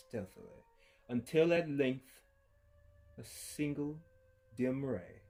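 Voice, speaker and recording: A man reading as a gloating madman, slow and hushed. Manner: theatrical, swinging from hushed murmurs to loud outbursts, with low chuckles. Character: unhinged male murderer narrator, feverish and gloating. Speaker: narrator reading a horror tale. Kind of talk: dramatic storytelling reading.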